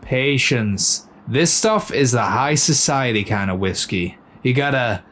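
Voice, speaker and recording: A man plays a laid-back soldier in a sci-fi audio drama. His voice is mid-pitched. He talks in a casual, wry way. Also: lively intonation, unhurried, a bit bright, slight background noise. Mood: relaxed, amused.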